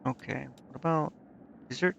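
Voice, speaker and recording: A man's voice: a little high, moderate pace, gravelly, lo-fi sound, background noise. A man streaming a video game, thinking aloud in casual commentary and muttering indecisively to himself.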